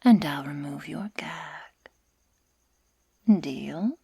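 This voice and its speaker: Woman acting a slow, whispering sadistic werewolf girl. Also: low-pitched, mellow, full character voice. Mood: taunting menace. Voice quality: breathy, mostly whispered. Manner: slow, deliberate, teasing, mock-sweet menace. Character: sadistic werewolf girl, menacing, taunting, possessive predator. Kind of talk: ASMR roleplay, whispered.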